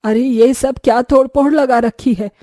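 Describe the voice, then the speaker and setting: A woman's voice: high-pitched, fairly even intonation, moderate pace, warm tone, no echo, studio quality. A woman voicing a stern, nagging, exasperated older mother-in-law in a cartoon.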